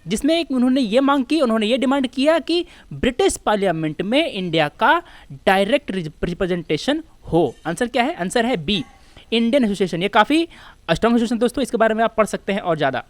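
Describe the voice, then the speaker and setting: A woman's voice: fairly high pitch, animated, fast talker, no echo. A woman with an Indian accent teaching exam material in an informal, explanatory lecture, addressing her students as friends. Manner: explanatory, addresses students as friends, switches between two languages.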